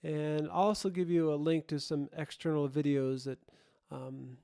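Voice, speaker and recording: A man hosts an informal audio tutorial in a smooth, mellow voice that sits a little high. He thinks aloud in a halting way, restarting and rephrasing as he explains. The recording is close and dry. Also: little pitch movement, no room sound. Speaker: host, audio production tutorial presenter.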